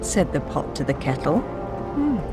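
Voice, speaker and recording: A woman's voice: deep voice, very animated, measured pace. A woman with a British accent playing a poised, dry-witted archaeologist adventurer in a video game, composed and articulate. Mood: dry, curious. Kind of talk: video game cutscene acting. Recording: loud music under the voice.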